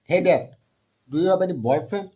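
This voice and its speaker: A man's voice: high-pitched, normal speed, warm tone. A man from Bangladesh, flirting in broken English on a casual video call. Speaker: vlogger chatting with strangers.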